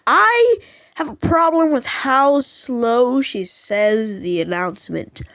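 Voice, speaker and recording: A woman's voice: fairly high pitch, expressive, slow pace, a bit bright. A woman giving casual, rambling vlog commentary in an informal, unsure and self-deprecating way. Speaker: vlogger ranking subway announcements.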